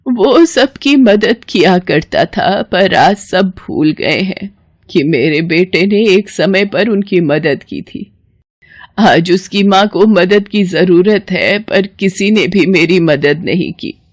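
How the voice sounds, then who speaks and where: A woman voicing an elderly, helpless village mother in a story, with slow, drawn-out, tearful delivery. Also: on the low side, no echo, clean studio sound. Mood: tearful lament.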